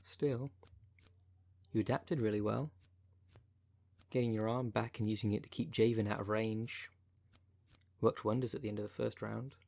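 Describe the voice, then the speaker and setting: Casting: man to play necromancer fight coach for ASMR audio roleplay, lowish male voice, wry and caring, casual and colloquial delivery. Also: fairly even intonation, normal speed, vocal fry, pauses often, a little mellow. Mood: wry, reassuring. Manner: chatty, colloquial, wry, consoling.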